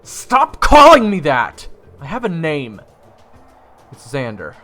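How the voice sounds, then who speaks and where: Man acting a lively, wry young would-be knight. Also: a little high, slow, faint music behind, exaggerated voice. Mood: exasperated. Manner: wry, incredulous, casual. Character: exasperated, sarcastic young male would-be knight, narrating his adventure. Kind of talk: fan audio roleplay with first-person narration.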